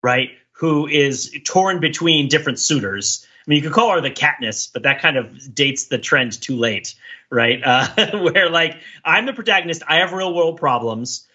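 Bright, husky-voiced man talking analytically. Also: on the high side, animated, normal speed, highs cut off. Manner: discursive, builds long layered arguments. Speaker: podcast co-host. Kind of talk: casual analytical panel chat about TV.

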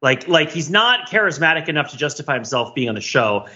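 Male podcast co-host, slightly high and bright, casual but mock-earnest, long rambling analysis, slightly muffled recording. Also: big pitch swings, a little fast. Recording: slightly dull, lo-fi audio.

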